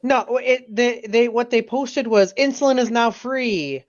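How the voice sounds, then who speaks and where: A man's voice: very high-pitched, measured pace, muffled recording. A man co-hosting a podcast, chatting casually and bantering with friends. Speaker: podcast co-host.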